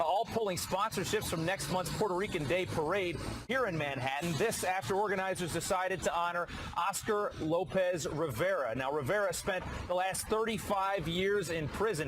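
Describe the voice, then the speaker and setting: A male field reporter delivers a live news report outside on a city plaza, speaking in a brisk, factual way. His voice sits a little high for a man, and steady street noise carries clearly behind him.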